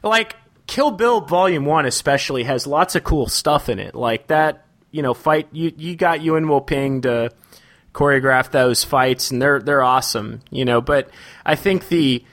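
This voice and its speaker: Animated, opinionated man on a podcast. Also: mid-range voice, a little slow. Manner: animated and opinionated, laughs often, acts out quotes. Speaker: podcast co-host. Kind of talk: casual film discussion.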